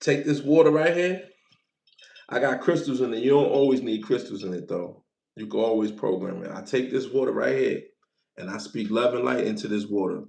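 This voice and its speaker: Livestream host, man, forties, gravelly, playful, repeats himself, roomy sound. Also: mid-range voice, a little fast, darkish tone. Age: 40s. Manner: loose, playful, repeats himself, thanks viewers for gifts. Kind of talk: casual livestream chat.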